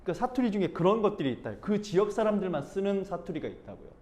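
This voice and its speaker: A man from Busan giving an informal class talk as a speech and expression instructor, animated and slipping into acted-out dialect examples. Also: high-pitched, rapid-fire. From Busan, South Korea.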